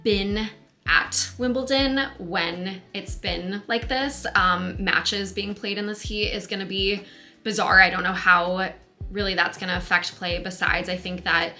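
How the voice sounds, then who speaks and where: A woman's voice: mid-range voice, steady tone, bright, forceful tone, soft background music. A young American woman talking candidly to camera in a casual vlog from a rented house. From United States. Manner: chatty, candid, quick asides. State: hoarse, losing her voice. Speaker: vlogger.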